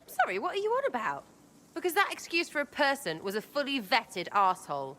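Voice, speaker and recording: A woman's voice: high voice, wildly swooping pitch, a little fast, bright, forceful tone, slight background noise, full character voice. An actress with an English accent playing a cheeky, blunt, hot-tempered young female rogue in a video game. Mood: indignant. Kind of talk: video game cutscene acting.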